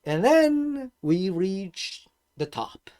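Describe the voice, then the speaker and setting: A middle-aged man with a Serbian accent, telling personal stories as an informal course instructor in an emphatic, animated way, with rhetorical questions. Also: high-pitched, very slow, clear, resonant tone. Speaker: host and instructor of an investing course. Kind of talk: informal personal-story lecture to viewers.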